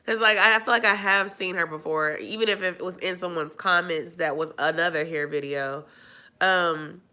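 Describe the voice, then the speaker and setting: A woman's voice: fairly low pitch, sharp tone. Stuffy-nosed woman chatting casually. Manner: chatty, rambling with many fillers. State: stuffy, nasal from a cold. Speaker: vlogger answering live chat. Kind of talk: casual live-stream chat.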